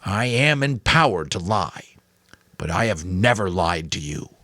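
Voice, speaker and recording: A man's voice: low-pitched, big pitch swings, a bit bright, no room sound, studio quality, full character voice. A man voicing a grave, weary old mentor, firm but kind, in a dramatic audiobook. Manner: firm, solemn, deliberate. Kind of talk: dramatic audiobook character reading.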